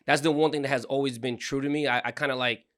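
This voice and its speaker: Smooth-voiced man telling stories casually. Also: medium pitch, steady tone, fast talker, fairly bright tone. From Puerto Rico, raised in New Jersey. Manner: rambling, repeats words and restarts often. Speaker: guest, credit repair business owner. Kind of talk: casual storytelling in interview.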